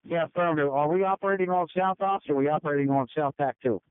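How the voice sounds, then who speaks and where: A man's voice: a little high, fast talker. A man working as a fire division officer, reporting in emergency radio traffic over a two-way radio. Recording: tinny narrowband fire radio transmission.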